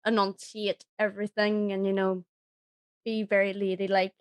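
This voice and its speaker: Woman, dropped Ts, casual informal chat, medium pitch, dry close recording. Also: flat delivery, moderate pace, clean tone, no room sound. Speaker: voice actor, podcast guest.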